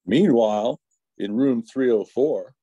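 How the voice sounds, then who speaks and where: A man's voice: medium pitch, slow pace, dull audio, noisy background. A man reading scripted narration for an old-time radio drama, with the role of narrator.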